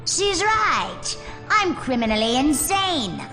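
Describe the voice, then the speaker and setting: A woman playing a criminally insane, gleefully menacing villainess in a video game cutscene, with a slow, theatrical, taunting delivery. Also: high voice, big pitch swings, sharp tone, exaggerated voice. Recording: long reverberant echo, faint music.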